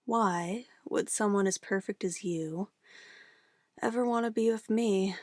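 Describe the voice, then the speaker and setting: A woman's voice: mid-range voice, measured pace, no room sound, studio quality, lightly acted. A woman playing an obsessive, possessive witch in an ASMR roleplay, sweetly menacing and cooing in an intimate, theatrical way. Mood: obsessive, adoring. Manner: intimate, cooing, theatrical, shifting between soft whispers and firm commands.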